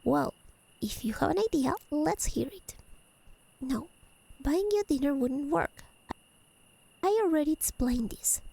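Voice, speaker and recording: A high, mellow voice with a creaky edge from a woman playing a haughty fae lady of the high realms. She is teasing and possessive, with elegant, formal phrasing. It is soft-spoken ASMR roleplay, with crickets chirping in the background. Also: animated, unhurried, long pauses, no room sound, heavily acted. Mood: exasperated.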